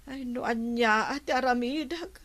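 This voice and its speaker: A woman's voice: on the high side, normal speed, fairly bright tone. An actress with a Filipino accent playing a vain, embarrassed village woman who whines plaintively in a radio comedy drama. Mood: whiny, embarrassed. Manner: whiny, plaintive, exclaiming. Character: vain, embarrassed village woman whining about her ruined face.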